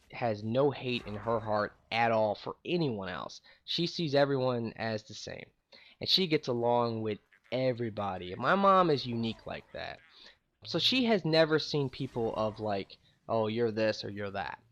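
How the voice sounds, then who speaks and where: A man's voice: mid-range voice, a bit bright. A young man from St. Louis, a gaming YouTuber, casually telling stories about his life in a rambling, chatty way. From St. Louis, USA. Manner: rambling, conversational, many fillers. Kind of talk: casual storytelling to camera.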